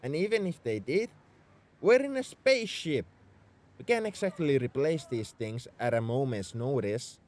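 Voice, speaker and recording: A man's voice: mid-range voice, lively intonation, normal speed, a little mellow, close to an everyday voice. A man playing a young tsundere spaceship mech engineer in an audio roleplay, defensive about his feelings as he speaks straight to the listener. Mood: exasperated scolding. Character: gruff-caring tsundere male mech engineer, young adult. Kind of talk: ASMR roleplay acting, addressed to the listener.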